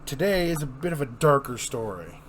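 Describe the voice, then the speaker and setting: A man's voice: medium pitch, very animated, normal speed, fairly bright tone, slight background noise. A man hosting a dramatic comic book reading, chatting casually and describing scenes informally. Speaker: host and reader.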